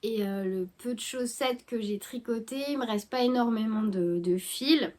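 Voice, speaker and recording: A woman with a fairly high voice, stuffy and congested from a head cold. She talks to her viewers in a relaxed, hesitant way, stopping and restarting with plenty of fillers as she chats about her knitting at home. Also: moderate pace. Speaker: knitting vlogger. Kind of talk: casual chat to camera.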